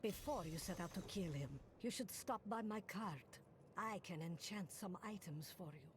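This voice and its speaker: A woman's voice: medium pitch, expressive, moderate pace, noisy background, natural voice. An actress voicing a dry, blunt mystic woman who enchants items in a video game. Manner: dry, blunt, matter-of-fact. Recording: game audio with loud music. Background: game music.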